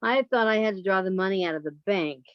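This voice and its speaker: A woman's voice: medium pitch, quick pace, clear, resonant tone, dry recording, dull audio, lightly acted. A woman playing a resentful younger sister breaking free, cool and pointed in an old time radio drama style. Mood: coolly triumphant.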